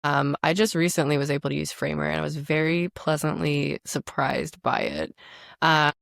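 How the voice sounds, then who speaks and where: A woman with a raspy, deep voice, chatting casually as a podcast guest and laughing often. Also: expressive, moderate pace, no echo, clean studio sound. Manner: chatty, laughs often, uses many fillers.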